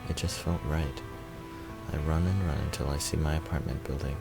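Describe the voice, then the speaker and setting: A man with a very low, gravelly voice reads a visual novel's narration aloud. His delivery is subdued and fairly flat, measured out with pauses, over clearly audible music. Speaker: let's player reading a visual novel.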